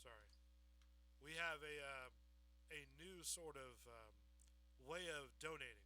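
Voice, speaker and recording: A man's voice: a little high, measured pace, pushed and bright. A man hosting a casual talk show, rambling and hesitant with lots of "uh" and "sort of". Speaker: host.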